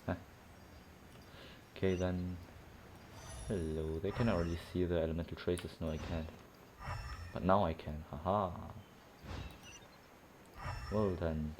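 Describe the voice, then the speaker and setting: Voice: low voice, fairly even intonation, measured pace, long pauses, noisy background. A game streamer giving casual commentary while playing, muttering asides and thinking aloud. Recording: game music behind. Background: video game soundtrack and dialogue.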